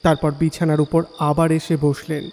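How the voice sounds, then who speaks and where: A man's voice: on the high side, flat delivery, moderate pace, vocal fry, darkish tone. A man narrating a horror story dramatically in the first person. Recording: long reverb, faint music.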